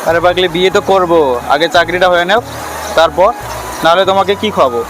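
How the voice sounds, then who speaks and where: An easygoing young boyfriend in a romantic short film, played by a man. He talks extremely fast. Loud music runs behind him, with outdoor sound around. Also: high-pitched, steady tone, natural voice, rough recording. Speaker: actor in amateur short film. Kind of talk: acted casual drama dialogue.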